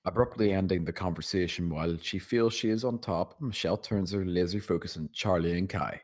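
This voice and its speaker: A man's voice: deep voice, brisk, darkish tone. A man reading stage directions as narrator at a screenplay table read.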